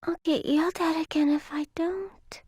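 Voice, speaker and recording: A woman's voice: very high voice, steady tone, a little mellow, big-room sound. An actress voicing a timid young girl who stammers and hesitates. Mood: anxious. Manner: halting, stammering.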